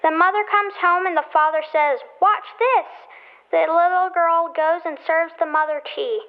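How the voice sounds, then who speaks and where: Silly little kid joke-teller for radio play played by a female, piping high voice, phone-like sound. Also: steady tone, normal speed. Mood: storytelling. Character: silly young kid hosting a gross-out joke podcast. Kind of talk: scripted radio play acting. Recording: tinny phone-like voicemail line.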